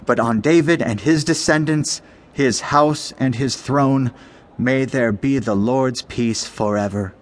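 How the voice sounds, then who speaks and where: A man's voice: mid-range voice, takes it slowly, lightly acted. A man playing a stern young king in a dramatized scripture recording, speaking with solemn, emphatic authority. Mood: stern, commanding. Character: stern, commanding young king passing judgment. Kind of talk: dramatized scripture audiobook acting.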